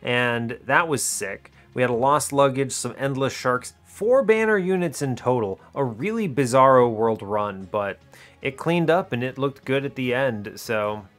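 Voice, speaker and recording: A man's voice: medium pitch, animated, a little slow, a bit bright, faint music behind. A man giving casual gameplay commentary, chatty and informal, thinking aloud as he explains his strategy. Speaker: host of a gaming video series.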